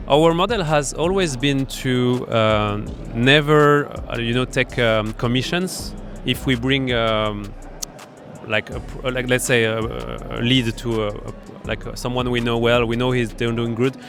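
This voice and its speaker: French-accented man explaining, halting delivery. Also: mid-range voice, fairly even intonation, fairly bright tone, soft background music. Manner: explanatory, halting, with many fillers and restarts. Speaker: startup accelerator founder, guest. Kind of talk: conversational interview. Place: at a startup event.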